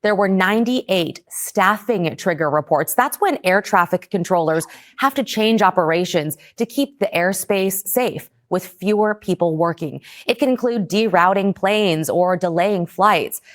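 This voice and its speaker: A woman reading scripted news as an anchor. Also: medium pitch.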